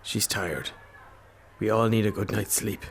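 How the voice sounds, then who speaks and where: A man playing a weary, calming, plain-spoken Irish father in a naturalistic radio drama. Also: lowish voice, a little slow, slight background noise.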